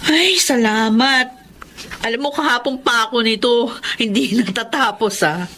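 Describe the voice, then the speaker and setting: An actress playing a blunt, protective young Filipino best friend in a radio drama. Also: female voice, a little high, a little slow, pushed and bright, a little hiss or hum. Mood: friendly. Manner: blunt, scolding, probing.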